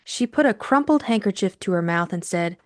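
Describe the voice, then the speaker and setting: A woman's voice: medium pitch, animated, brisk, no room sound, studio quality. A woman reading scripted audiobook narration as the storyteller.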